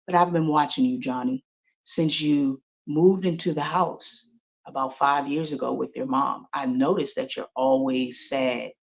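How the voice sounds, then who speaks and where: A woman voicing a wise, all-knowing male apple tree spirit in a slow, solemn manner. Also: very deep voice, steady tone, dull audio, pitched below the performer's own voice, heavily acted.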